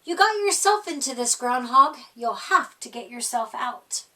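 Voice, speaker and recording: A woman's voice: high-pitched, expressive, a little slow, bright, forceful tone, distant mic, heavily acted. A woman voicing a wise old storybook owl, wry and firm, in a pondering manner.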